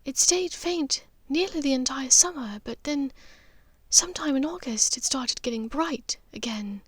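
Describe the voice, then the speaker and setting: A woman voicing a gentle, knowing aristocratic witch mother in an audiobook, speaking softly and wistfully with small hesitations. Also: high voice, lively intonation, measured pace, no room sound, pitched above the performer's own voice. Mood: earnest, soft. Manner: soft, hesitant, wistful, breathy at times.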